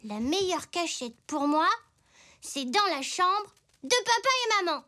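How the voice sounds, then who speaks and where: A woman voicing an eager, curious little boy zebra, earnest and expressive, in a French children's cartoon. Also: high voice, measured pace, heavily acted. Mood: pondering, pleased. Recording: music bed behind the voice.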